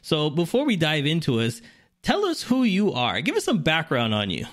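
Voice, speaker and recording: A man's voice: fairly high pitch, huge pitch swings, normal speed, a bit bright. A man hosting a podcast, asking questions in a loose, rambling way. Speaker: podcast host. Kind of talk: casual interview.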